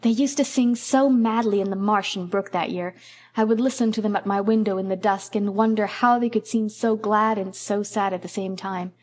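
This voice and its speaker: A woman's voice: mid-range voice, steady tone, normal speed, a little mellow, close to an everyday voice. Woman voicing a dreamy poetic young woman. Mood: wistful.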